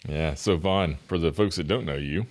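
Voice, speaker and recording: Deep-voiced male podcast host. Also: moderate pace, dry recording. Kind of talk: podcast hosting and interview.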